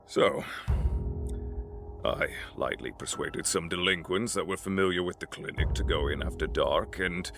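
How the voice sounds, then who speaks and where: A man's voice: sits low, a little slow, creaky. A man acting in an audio drama as a corrupt, self-justifying mayor, defensive and pleading under threat. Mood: strained confession. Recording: music under the voice. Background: dramatic music.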